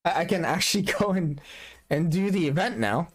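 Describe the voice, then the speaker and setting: A man's voice: a little high, lively intonation, normal speed. A young adult man streaming a game in casual chat, joking about himself and laughing often. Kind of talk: casual live-stream chat while gaming.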